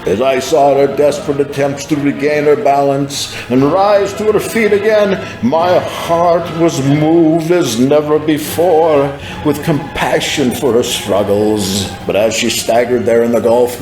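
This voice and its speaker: Slow, dramatic man narrating with echo. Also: mid-range voice. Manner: dramatic, solemn storytelling. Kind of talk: dramatized first-person scripted narration of a religious vision. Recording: echoing, clear music behind. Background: music.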